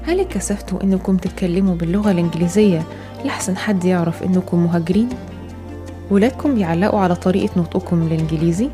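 Brisk Egyptian woman narrating a feature. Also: lowish voice. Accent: Egyptian Arabic. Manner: clear, steady reading. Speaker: radio presenter.

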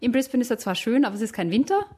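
A woman's voice: a little high, sing-song, very fast, dark tone, breathy edge. A young woman from Tyrol with a light Austrian accent, a German teacher answering interview questions in a chatty way, often correcting herself. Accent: Austrian, Tyrolean-tinged standard German. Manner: chatty, self-correcting, many fillers.

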